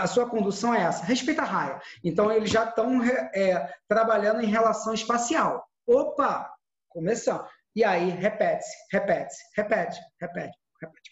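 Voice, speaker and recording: A man teaching an acting class over a video call, explaining with examples and many restarts. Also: high voice. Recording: dull echoey sound.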